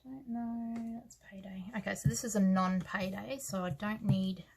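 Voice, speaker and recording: An Australian woman with a scratchy, croaky voice, chatting softly through her planner layout and trailing off into quiet asides. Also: medium pitch, steady tone, normal speed. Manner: soft-spoken, musing, trails off into quiet asides. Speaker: planner vlogger. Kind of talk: casual planner walkthrough.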